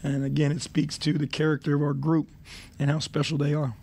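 Coach type, man in forties, gravelly, flat-toned, slightly high, measured answers on a desk mic. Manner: measured, many fillers, reflective. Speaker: head coach. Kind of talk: postgame press conference. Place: arena press room.

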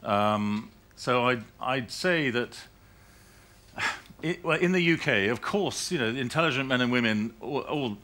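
A man's voice: mid-range voice, expressive, a little slow, sharp tone. A middle-aged British man speaking on a conference panel, rambling and restarting his sentences with laughing asides. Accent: British English. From United Kingdom. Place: conference hall stage.

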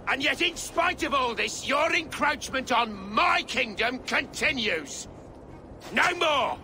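A man's voice: extremely high pitch, bright, forceful tone, slight background noise, full character voice. A scornful defiant Saxon king. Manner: scornful, commanding. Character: proud defiant Saxon king, older man.

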